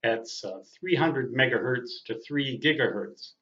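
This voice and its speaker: A man in his sixties giving a casual, reflective monologue as a veteran radio hobbyist, speaking in a deliberate, halting way with emphatic phrases. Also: mid-range voice, a bit bright. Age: 60s. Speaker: veteran amateur radio operator. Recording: muffled audio in a roomy space.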